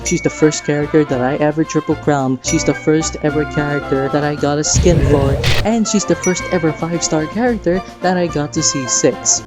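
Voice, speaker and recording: A man hosting a gaming reaction video, mixing scripted commentary with casual chat in a theatrical, playful fan manner. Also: a little high. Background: music behind the voice.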